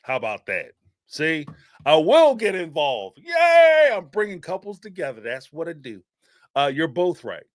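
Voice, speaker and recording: A man's voice: fairly high pitch, a little slow, no room sound, clean studio sound. A man with a Philadelphia accent hosting a live-stream show, talking casually with many fillers and frequent laughs. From Northeastern United States. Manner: animated and rambling, laughs often, many fillers. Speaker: live-stream show host taking call-ins.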